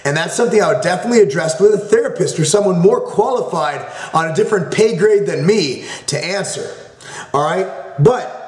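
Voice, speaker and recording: A man's voice: on the high side, roomy sound. A man in his thirties hosting a fitness channel, giving blunt, profane tough-love advice to a viewer from his home office. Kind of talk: blunt advice vlog answering a viewer question. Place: small home office, indoors.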